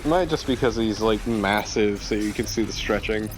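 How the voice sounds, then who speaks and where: A man's voice: lowish voice, normal speed, slight background noise, rough recording. A man making casual remarks as co-commentator on a video game. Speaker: let's play co-commentator. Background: video game sound.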